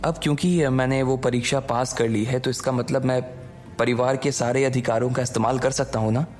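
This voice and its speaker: A man voicing a calm, considerate young hero, reassuring, polite and quietly confident, in an audio drama over music. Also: mid-range voice, brisk, close to an everyday voice. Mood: polite, resolute. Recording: background music under the voice.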